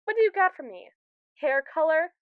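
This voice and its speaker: A woman's voice: high-pitched, sing-song, moderate pace, no echo, phone-quality sound. A woman playing a smug, sassy, domineering female robot AI in an ASMR roleplay monologue, theatrical and wordy as she teases the listener. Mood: playful, insistent. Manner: sassy, theatrical, verbose and teasing.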